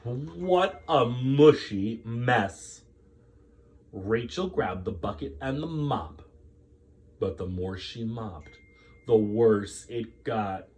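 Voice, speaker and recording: A man's voice: medium pitch, animated, a little mellow. A man reading a children's story aloud as a storyteller, dramatic and drawn out, with chatty asides. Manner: dramatic, drawn-out delivery with pauses.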